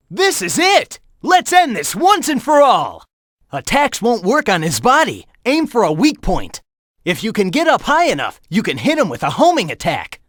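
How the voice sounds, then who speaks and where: A man's voice: high voice, a bit bright, no room sound, clean studio sound, exaggerated voice. An actor voicing a cocky young male hedgehog hero in a video game, teasing his rival and calling out warnings and tips. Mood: alarmed. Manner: urgent warnings and coaching.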